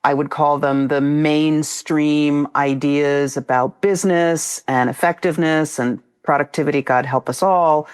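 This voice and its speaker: A woman's voice: low voice, darkish tone, smooth. A woman co-hosting an interview, asking a long musing question with wry asides. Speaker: podcast co-host.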